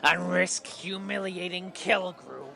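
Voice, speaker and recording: High, creaky young pirate crewman. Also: slow, pushed and bright, soft background music, exaggerated voice. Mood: nervous. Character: timid, whiny young crewman finding his courage. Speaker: actor in an audio play. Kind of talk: theatrical audio drama dialogue.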